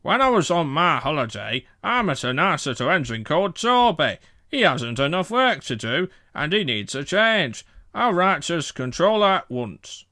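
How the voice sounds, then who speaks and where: Guy doing the pompous train boss in a kids story, kindly underneath, up and down voice, raised pitch. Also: big pitch swings, moderate pace, bright, forceful tone, dry recording, studio quality, exaggerated voice. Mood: pleased. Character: blustery, pompous but kindly male railway controller. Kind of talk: children's storybook character reading.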